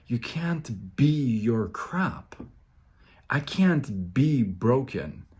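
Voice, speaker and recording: A man with a somewhat low voice talks straight to his livestream viewers, driving his points home with emphatic repetition. He stutters now and then but pushes on in a motivational tone. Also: a little mellow. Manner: emphatic, repeats phrases, greets viewers by name; stutters at times. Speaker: live show host. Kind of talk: motivational live talk.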